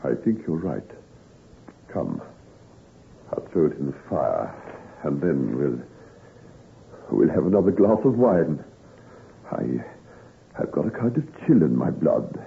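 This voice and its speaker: An actor playing a somber, shaken Victorian gentleman in an old-time radio drama, speaking gravely. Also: extremely low pitch, sing-song, slow pace, long pauses, full character voice. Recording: noisy, very muffled vintage radio recording.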